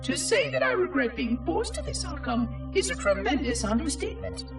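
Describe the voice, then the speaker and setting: High synthetic robot monitor, ancient, fussy, dutiful, curious, precise formal diction, video game narration, distant over music. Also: male voice, wildly swooping pitch, normal speed, heavily acted. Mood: solemn, regretful. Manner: precise, formal, theatrical enunciation. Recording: distant, echoing, music underneath.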